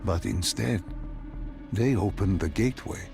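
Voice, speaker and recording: Secretive doctor in a video game, deep gravelly man, calm n cryptic, measured, music behind him. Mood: probing, ominous. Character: calm, enigmatic male doctor hiding dark secrets. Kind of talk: dramatic video game voice acting.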